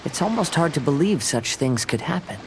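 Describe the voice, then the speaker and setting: A man playing a gentle, thoughtful young wanderer in a video game, speaking in a polite, reflective manner. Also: fairly high pitch, big pitch swings, brisk. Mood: calm, reflective. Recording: clear noise behind the voice.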